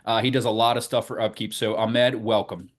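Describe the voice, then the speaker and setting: A man's voice: lowish voice, little pitch movement, fairly quick. A man hosting a company podcast, upbeat and encouraging, often repeating words as he introduces and prompts his guest. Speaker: podcast host. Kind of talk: podcast interview.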